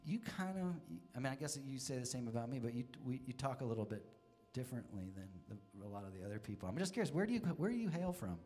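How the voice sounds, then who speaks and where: Male host, lively swinging pitch, rambling chat, band gear playing around him. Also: fast talker, hardly any pauses, soft background music. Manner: rambling, friendly, restarts sentences. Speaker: radio show host and musician. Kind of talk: casual live radio chat. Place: living room during a live band session. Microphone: stage vocal mic on a stand.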